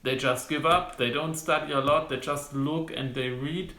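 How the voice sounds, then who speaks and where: A young man from Germany with a German accent, giving casual advice to camera as a medical student, halting with frequent restarts. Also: fairly high pitch, steady tone, bright, forceful tone. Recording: roomy, echoey room sound.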